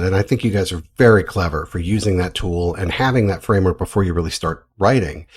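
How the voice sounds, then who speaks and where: A man hosting a podcast, chatting casually and a little haltingly as he thinks aloud. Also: deep voice, a little mellow, slightly rough. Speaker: podcast host. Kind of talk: casual interview chat.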